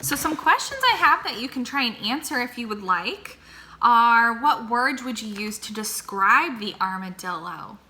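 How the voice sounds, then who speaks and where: A woman teacher reading a picture book aloud to children, slow and expressive, then posing questions to them. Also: on the high side. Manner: slow, expressive and warmly animated.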